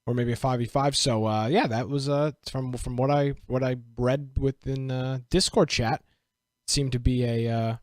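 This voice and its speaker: A man's voice: medium pitch, steady tone, normal speed, dry recording, studio quality. A man co-hosting a casual gaming podcast, joking and teasing his co-host in easy banter.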